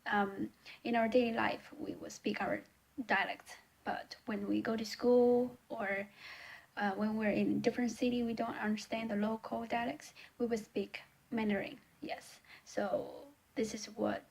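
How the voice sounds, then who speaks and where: Young female tutor, Chinese accent, slightly high voice, earnest, fillers, laptop webcam at home. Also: level tone, measured pace, vocal fry, low-quality recording. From China. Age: young adult. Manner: earnest, gently explaining, many ums and restarts. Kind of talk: casual teaching to camera. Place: small indoor room at home.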